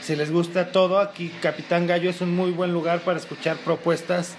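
A man's voice: high voice, little pitch movement, a little fast, faint music behind. A man with a Mexican accent chatting as a guest in an easygoing, hesitant way. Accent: Mexican Spanish. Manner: easygoing, hesitant, many fillers. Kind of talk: casual interview chat.

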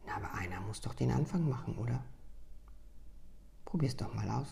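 A woman reading a story aloud in a soft, hushed, mostly whispered voice, slow and gentle. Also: rumbling low, lively intonation, gravelly.

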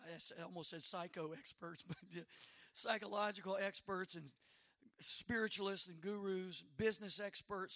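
A hoarse man preaching a sermon as pastor, stressing slow phrases and calling out to the congregation. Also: high-pitched, fairly bright tone, no echo. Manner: preaching with slow emphatic phrases and rising calls.

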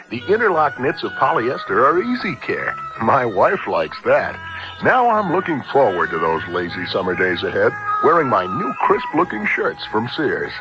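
A man reading a scripted radio advertisement as a commercial spokesman, on a 1970s broadcast. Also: mid-range voice. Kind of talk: scripted first-person radio ad. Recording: dull 1970s radio, music behind. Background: music.

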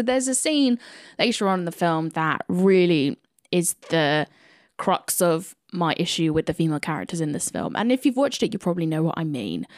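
A woman's voice: on the low side, no room sound, studio quality. A British woman hosting a film podcast, giving chatty, opinionated reviews in a conversational style. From United Kingdom.

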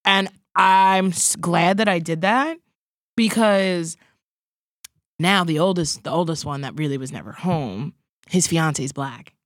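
A woman's voice: lowish voice, unhurried, dry recording, studio quality. A woman hosting a casual podcast conversation, telling stories emphatically and repeating phrases for effect. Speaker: podcast host. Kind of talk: casual conversation, animated storytelling.

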